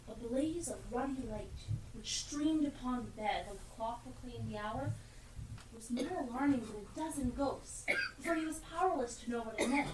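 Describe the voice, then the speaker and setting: A woman narrating a scripted story in a live stage play, with declamatory, deliberate phrasing. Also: high voice. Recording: echoey stage, distant mic, clear noise.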